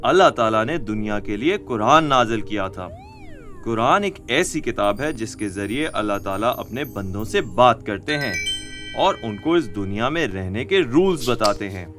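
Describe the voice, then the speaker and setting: A man voicing a kindly, encouraging cartoon teacher who explains things to young children in an animated way. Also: fairly low pitch, normal speed. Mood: gently instructive. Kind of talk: children's educational cartoon dialogue. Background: music.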